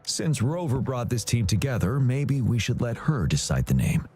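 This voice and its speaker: A man playing a calm, courteous young general in a video game, speaking in a composed, formal and reassuring manner. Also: medium pitch, normal speed, husky. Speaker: military general.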